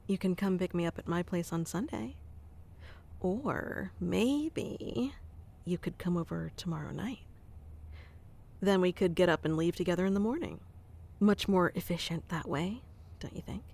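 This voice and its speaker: Slow, teasing woman with gravelly fry. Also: fairly low pitch, expressive. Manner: teasing, commanding yet doting, soft-spoken. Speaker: ASMR roleplay performer. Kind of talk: ASMR roleplay, playing a bossy affectionate boss.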